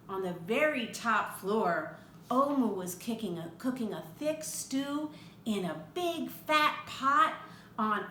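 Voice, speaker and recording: An older woman reading a picture book aloud to children, expressive and chatty, with asides to the young listener. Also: fairly high pitch. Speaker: read-aloud storyteller for children. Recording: echoey room with faint background noise.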